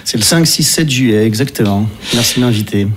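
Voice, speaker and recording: A man's voice: mid-range voice, brisk, a little hiss or hum. A man in his thirties from Italy, speaking as an interview guest in a casual, meandering way and often rephrasing as they go. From Italy, born to French parents. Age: 30s. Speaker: interview guest, actor and stage director.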